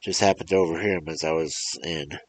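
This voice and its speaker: A man voicing an angry old country man who yells accusations in rough rural grammar. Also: rumbling low, steady tone, fast talker, a little hiss or hum. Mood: angry accusing.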